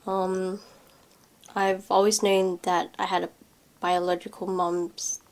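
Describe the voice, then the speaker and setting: A woman's voice: mid-range voice, flat delivery, slow, darkish tone. A woman in her twenties, speaking hesitantly in a documentary interview with many fillers. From Philippines. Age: 20s.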